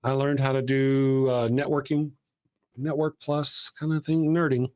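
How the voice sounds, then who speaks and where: A man's voice: mid-range voice, flat delivery, unhurried, a little mellow. A man hosting a live stream, chatting casually and rambling in a self-deprecating way. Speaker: host of a live show.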